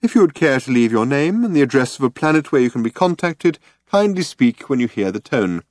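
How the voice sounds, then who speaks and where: A man's voice: mid-range voice, very animated, brisk, darkish tone, no echo, clean studio sound, voice pushed up, lightly acted. A man voicing an ancient recorded announcer, a formally polite old man with an undertone of menace. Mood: courteous, regretful. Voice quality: hollow, reedy, insubstantial. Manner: formal, over-polite, coldly official.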